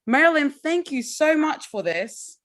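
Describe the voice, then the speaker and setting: A woman's voice: high voice, very animated, measured pace, a bit bright. A woman hosting an online award ceremony as MC, upbeat and encouraging, speaking over a video call. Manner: upbeat, encouraging, addresses audience as guys. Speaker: host and MC.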